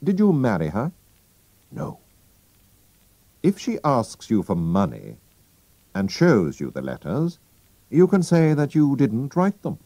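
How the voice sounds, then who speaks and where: A sharp, calm detective , as a man reads him aloud speaks in a brisk, sure-of-himself way. The voice sits clearly higher than the reader's own and moves up and down a great deal. It is a storybook reading. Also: no echo, heavily acted, poor audio. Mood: assured.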